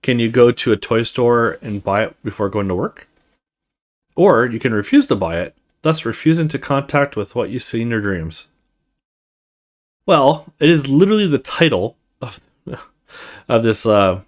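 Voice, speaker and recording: A man reading a horror visual novel aloud as a let's play host, with expressive storytelling and casual asides. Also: medium pitch.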